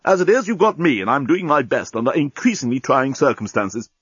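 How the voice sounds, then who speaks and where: A man voicing a chatty, indignant talking bomb in a comic science-fiction audiobook, huffy and deliberate. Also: mid-range voice, big pitch swings, a bit bright, breathy edge, no room sound, highs cut off. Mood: huffy, flustered. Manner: deliberate countdown, huffy complaining.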